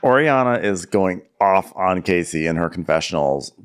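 A man's voice: deep voice, expressive, normal speed, smooth. A man hosting a comedy podcast, recapping television in a snarky, playfully exaggerated, conversational way.

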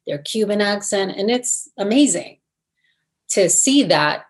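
A woman's voice: mid-range voice, lively intonation, unhurried. A middle-aged woman from New Jersey, an actress answering interview questions in a thoughtful, hesitant way with many fillers and restarts. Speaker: actress, guest.

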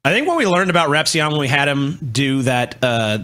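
A man's voice: a little high, animated, brisk, sharp tone. A man co-hosting a podcast, chatting casually in an offhand, profane way. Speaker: podcast co-host. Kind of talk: casual chat.

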